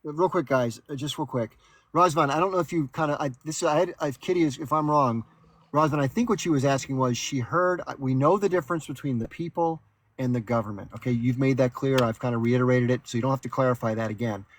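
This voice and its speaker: A man's voice: medium pitch, fast talker, slight background noise. A man hosting a call-in live stream, thanking callers and steering the talk in an easygoing way.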